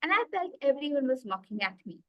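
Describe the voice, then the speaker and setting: A woman with an Indian accent tells her story eagerly on a video call, her voice sitting a bit high. She talks with many fillers and asides. The sound is dry and close. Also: sing-song, fast, no echo, dull audio. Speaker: guest, executive coach. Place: small room indoors.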